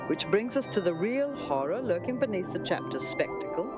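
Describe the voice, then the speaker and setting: High-pitched man reading a satirical review. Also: big pitch swings, normal speed, lo-fi sound, loud music behind the voice.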